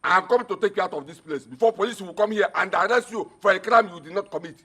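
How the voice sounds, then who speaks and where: A man's voice: sits high, fast talker, pushed and bright, breathy edge, exaggerated voice. An actor with a Nigerian accent playing a scornful, domineering man in a film melodrama, commanding and taunting. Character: scornful, domineering man ordering a woman home.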